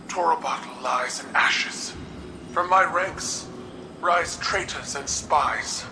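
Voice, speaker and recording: A proud, imperious alien empress from a sci-fi game, with a deep commanding register. She declaims formally, like a warrior ruler carrying shame. Her voice echoes in a roomy space over noisy rumbling ambience. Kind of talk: scripted dramatic video game dialogue.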